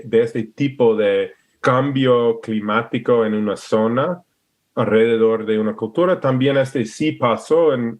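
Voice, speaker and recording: A man from Alaska speaking Spanish with an American English accent, a guest in a casual interview who restarts often and hunts for words. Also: on the low side. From Alaska, USA. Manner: hesitant, searching for words with many restarts. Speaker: podcast guest.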